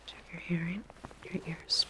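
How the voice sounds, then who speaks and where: Whispering woman in an ASMR medical roleplay. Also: a little slow. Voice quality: breathy, unvoiced whisper. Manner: soft, reassuring, gently instructing. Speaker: ASMR roleplayer playing a lab researcher giving a medical exam.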